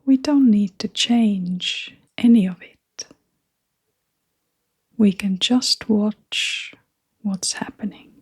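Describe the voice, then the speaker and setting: A woman leading a guided meditation in a soft, half-whispered, breathy voice, with gentle, unhurried phrasing. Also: medium pitch.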